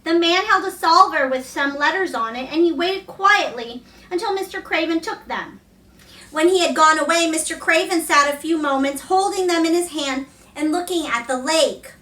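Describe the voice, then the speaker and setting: A woman reads a classic story aloud in a bright, high voice. The room echoes noticeably around her narration. It is storybook reading, line by line from the page. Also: moderate pace. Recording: echoey room, distant mic.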